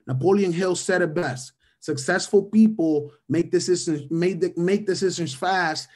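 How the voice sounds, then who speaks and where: A man's voice: on the high side, darkish tone. A man in his thirties, a native Spanish speaker, mentors his audience over a video call in a slightly raised voice. He repeats his main points and punctuates nearly every thought with a questioning "right?". The sound is webcam-call audio from a small room. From Venezuela. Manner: repeats key phrases for emphasis. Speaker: mentor presenting a webinar. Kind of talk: motivational webinar talk. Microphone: webcam or headset on a video call.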